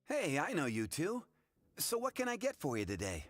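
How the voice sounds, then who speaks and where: An actor playing a friendly, seasoned bartender in a video game, talking in an affable, instructive way. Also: male voice, a little high, wildly swooping pitch, moderate pace, dry recording, clean studio sound. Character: friendly, seasoned male bartender mentoring beginners.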